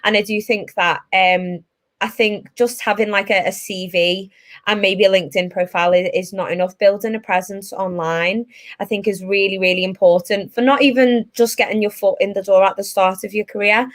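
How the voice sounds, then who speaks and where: A woman's voice: mid-range voice, fairly even intonation, moderate pace. A woman with a Liverpool accent, a tech recruiter speaking as a guest on a webinar in a chatty way, with lots of fillers, over a video call. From Liverpool, England. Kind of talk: casual webinar talk.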